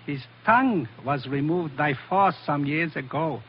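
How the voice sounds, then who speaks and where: An actor playing a menacing foreign henchman with formal, deliberate politeness in a 1940s radio adventure. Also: fairly high pitch, animated. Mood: cold, cruel. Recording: dull, noisy old radio recording.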